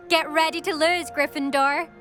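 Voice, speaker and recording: Cocky, high-voiced schoolgirl racer. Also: steady tone, fairly bright tone. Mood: cocky challenge. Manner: haughty, brisk, needling. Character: cocky, competitive teenage schoolgirl broom racer, impatient and proud. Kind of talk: video game voice acting. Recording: music behind the voice.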